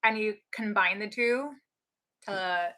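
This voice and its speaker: A woman's voice: medium pitch, takes it slowly, bright, forceful tone. A woman with a nasal voice, co-hosting a casual livestream chat in a teasing, chatty way. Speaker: co-host.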